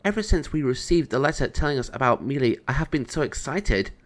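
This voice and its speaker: Young male storybook cat hero, earnest and friendly, animated intonation male reader performing. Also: medium pitch, fairly quick, voice pushed up, lightly acted. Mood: excited. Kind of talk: children's storybook read-aloud.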